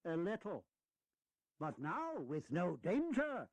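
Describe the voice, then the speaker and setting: A man's voice: sits high, wildly swooping pitch, slow, dry recording, heavily acted. An actor playing a shrewd, teasing eighty-year-old statesman in a historical audio drama, lecturing in a folksy, wry way. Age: elderly.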